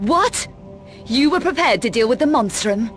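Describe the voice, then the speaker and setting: A woman's voice: on the high side, lively intonation, normal speed, lightly acted. An actress playing a tough, cool, sardonic young female adventurer in a video game, speaking in a curt, dry, probing manner. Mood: demanding. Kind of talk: dramatic video game cut-scene acting. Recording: loud music under the voice.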